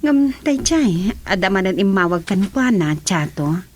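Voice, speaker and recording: A woman's voice: mid-range voice, big pitch swings, unhurried, darkish tone, a little hiss or hum. A Filipino woman playing an inquisitive madam in a radio drama, with emphatic, questioning delivery. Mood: concerned.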